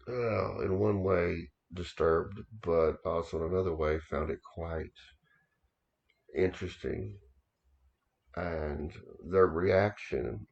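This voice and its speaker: Very deep gravelly man, halting storyteller. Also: darkish tone, lo-fi sound. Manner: halting, repeats himself, many restarts and fillers. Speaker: occult author recounting experiences. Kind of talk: casual first-person storytelling.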